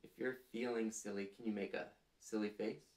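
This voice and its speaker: A man in his thirties hosting a children's storytime, reading aloud and prompting listeners in a gentle, deliberate, clearly phrased way, seated in a small room. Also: fairly low pitch, steady tone. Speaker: storytime host reading picture books to children. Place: small furnished room indoors, seated on a couch. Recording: roomy indoor sound.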